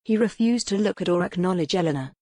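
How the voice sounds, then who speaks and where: A woman's voice: lowish voice, quick pace, warm tone, no room sound, studio quality. A woman reading scripted dramatic fiction as an audiobook narrator.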